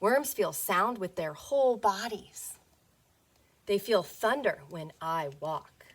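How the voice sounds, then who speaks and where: A woman teacher reading a picture book aloud to young children, playful and expressive, often putting questions to them. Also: mid-range voice, slow pace, husky.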